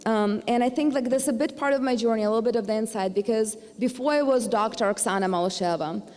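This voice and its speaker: A woman's voice: on the high side, fast talker, darkish tone. Middle-aged ukrainian woman giving a graduation speech, earnest, some ums and restarts, long echo from a big hall. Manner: earnest, with hesitant fillers and restarts. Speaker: university trustee giving commencement address. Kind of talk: formal commencement speech. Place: large hall.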